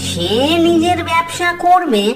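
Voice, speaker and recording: A woman's voice: very high-pitched, big pitch swings, a little slow, heavily acted. A woman voicing an eager, stubborn young village boy in a Bengali moral-story cartoon, polite and persuasive. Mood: insistent. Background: music.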